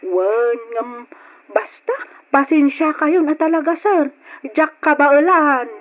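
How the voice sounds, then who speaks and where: A woman's voice: high voice, takes it slowly. A woman playing a worn-out, apologetic helper in a radio drama, hesitant, pleading and deferential. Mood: flustered, apologetic. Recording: dull lo-fi radio drama recording.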